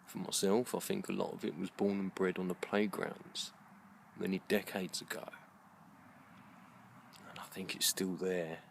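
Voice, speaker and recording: British guy, middle aged, really deep voice, thinking out loud slowly and earnestly in short halting bits, some background noise. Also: level tone, creaky. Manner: earnest, deliberate, halting phrasing. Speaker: video blogger. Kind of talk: slow reflective monologue to camera.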